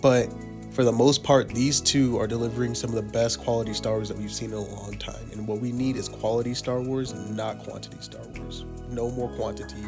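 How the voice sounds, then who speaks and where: A man with a hoarse voice from being sick, giving heated opinion commentary over a video, repeating himself for emphasis. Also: mid-range voice, level tone, fairly quick, music in the background. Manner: pleading, repetitive emphasis.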